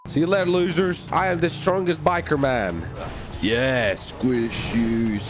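A man hosting a gaming video, giving excited, playful and boastful commentary full of exclamations. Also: mid-range voice, a little slow. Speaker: gaming video host and player. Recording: music behind the voice. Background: music.

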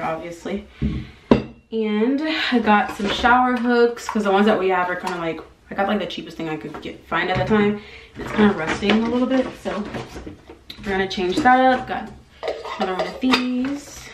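A young adult American woman talks in a casual, informal vlogging style, as if thinking out loud. Her medium-pitched voice bounces around a small tiled bathroom. Clear noise of clicks and clatter sits behind her as the camera picks her up. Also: moderate pace, echoey room. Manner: informal, thinking aloud while she works. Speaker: lifestyle vlogger. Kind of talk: casual chatty vlog talk. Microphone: camera mic at arm's length or propped nearby.

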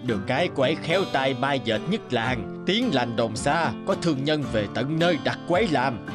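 A man's voice: medium pitch, expressive, moderate pace, over music, natural voice. A man playing a gossipy elderly villager in a cartoon, with a blunt, folksy, chatty manner. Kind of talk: dubbed cartoon acting.

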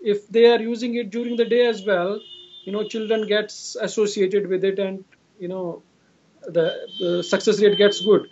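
A man with an Indian accent speaks in a notably high voice, giving explanatory answers as an interviewed clinician. He tends to repeat his phrases. The sound is slightly muffled and lo-fi, as over a video call. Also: flat delivery, moderate pace, darkish tone. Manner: repetitive, often says "you know". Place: indoor room, video call. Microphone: video call device at arm's length. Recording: dull, lo-fi video call audio.

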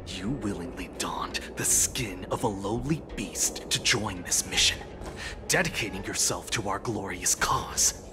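Actor playing a cold armored plotter in a game, low voice, slow hushed delivery, music behind. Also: male voice, close to an everyday voice. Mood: hushed scolding. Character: menacing armored male conspirator, stern and cold.

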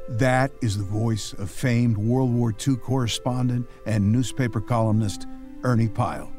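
A man's voice: mid-range voice, fairly even intonation, measured pace, mellow, natural voice. Guy playing earnest radio announcer man narrating a story, folksy, faint music under him. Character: omniscient old-time radio newsman narrator, earnest and folksy.

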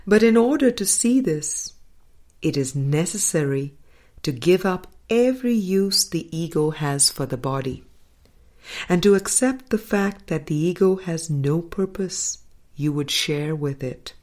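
A woman reading a spiritual book aloud in a measured, deliberate manner, pausing between phrases. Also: lowish voice, animated, a little mellow. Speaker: audiobook reader.

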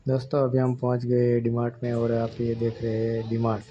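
Male vlogger, mid-pitched and flat, hesitant casual narration on lo-fi audio. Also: a little fast. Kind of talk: casual shopping vlog narration. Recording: dull lo-fi audio with background noise.

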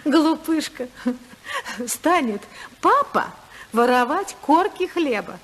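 A woman's voice: high voice, slow, full character voice. An actress playing a spirited, self-assured senator's wife and mother in a 1950s radio play. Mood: calm, ironic. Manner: lively, ironic, assured. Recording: 1955 radio drama recording.

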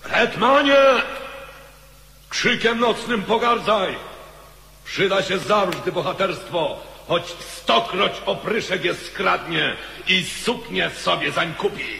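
A man's voice: sits high, vocal fry, pushed and bright, full character voice. A man playing a fervent counsellor in a poetic radio play, declaiming in an exalted manner. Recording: echoey hall reverb.

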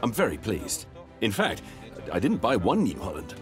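A man's voice: fairly low pitch, huge pitch swings, normal speed, music in the background. A man in his thirties with a Spanish accent, a farmer giving an earnest customer testimonial in a tractor showroom. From Spain.

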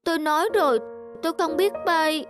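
Gloomy young parrot, shy and defensive, high childlike pitch, over loud music. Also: brisk. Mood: dejected, defensive. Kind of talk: cartoon dubbing.

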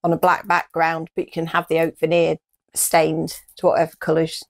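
A woman's voice: sits low, monotone, normal speed. A middle-aged British woman chatting casually as co-host of a news show, recorded in a small room indoors. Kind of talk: casual chat.

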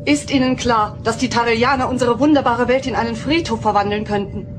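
A frightened woman ruler played by an actress pleads in a radio play. Her voice is fairly high, with loud music behind. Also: fairly quick, natural voice. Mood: alarmed, pleading. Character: frightened, pleading female planetary leader. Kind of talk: German radio play acting.

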